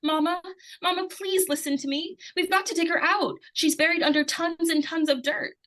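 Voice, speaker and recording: An earnest young girl, acted by a woman in an old-time radio thriller. Her voice is high, and she speaks with pleading, insistent urgency, as a child who needs to be believed. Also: fairly even intonation, moderate pace, a bit bright. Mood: urgent pleading. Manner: urgent, breathless repetition and pleading. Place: live stage radio-play performance.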